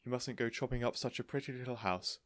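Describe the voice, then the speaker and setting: A man voicing a kindly, eager gentleman in a storybook, speaking in an earnest, courteous and reassuring way. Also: mid-range voice, fast talker, dry recording, clean studio sound, pitched above the performer's own voice, lightly acted. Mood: reassuring, confident. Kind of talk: storybook character voice.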